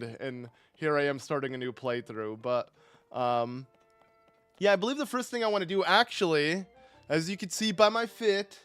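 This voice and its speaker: Bright-voiced young man streaming a game. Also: fairly high pitch, no room sound. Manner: rambling, self-correcting, chuckling. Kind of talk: casual live gameplay commentary. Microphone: desk microphone.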